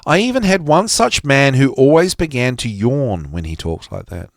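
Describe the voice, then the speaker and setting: A man giving an expressive, emphatic reading of a psychology lecture, with deliberate phrasing that drops dramatically at the ends of phrases. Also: mid-range voice, no echo, studio quality. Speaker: podcast host reading aloud from a psychology text.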